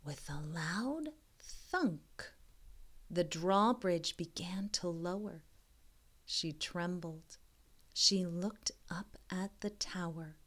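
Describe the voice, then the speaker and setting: A woman reading a children's story aloud as a tutor, expressively and deliberately, with informal, encouraging asides to young listeners. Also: fairly low pitch. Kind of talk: modeled children's read-aloud with chatty asides.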